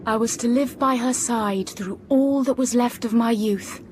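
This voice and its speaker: An actress playing a reserved, melancholy young gentlewoman, narrating her life in a measured way in a literary audio drama. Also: fairly high pitch, a little hiss or hum, close to an everyday voice. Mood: restrained, resigned. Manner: measured, sombre. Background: music.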